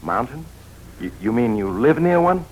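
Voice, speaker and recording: An actor playing a calm, reassuring hospital doctor in a 1940s radio drama, measured and professional. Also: male voice, mid-range voice, lightly acted, low-quality recording. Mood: soothing, questioning. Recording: faintly hissy 1940s radio recording.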